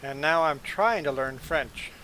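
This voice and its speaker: A man hosting a live stream, chatting casually with viewers; his first language is Low German and he grew up in Belize and Canada. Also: a little slow, a little hiss or hum, rough recording. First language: Plautdietsch (Low German). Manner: relaxed, chatty, answering viewers' chat. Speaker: livestream host.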